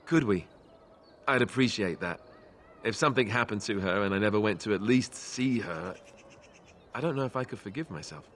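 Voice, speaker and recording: Earnest young fantasy warden, video game dialogue, slightly low voice, hesitant, halting. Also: male voice, expressive, fairly bright tone, a little hiss or hum. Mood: hesitant, wistful. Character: earnest young male warden haunted by family ties.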